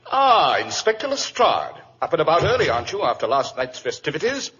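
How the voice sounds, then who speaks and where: A wry, urbane English gentleman sleuth from an old radio drama. His slightly high voice moves in lively swings as he teases with superior calm. The recording is dull and dated. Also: brisk, a little hiss or hum. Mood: dryly amused. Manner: urbane, dry, teasing. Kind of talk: 1940s radio acting.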